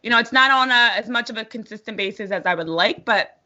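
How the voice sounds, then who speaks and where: A bright, forceful American woman speaks as an interview guest. She tells her story conversationally, articulate and positive. The audio is slightly dull and lo-fi. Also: a little high, quick pace. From New Jersey, United States. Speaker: sports broadcaster being interviewed.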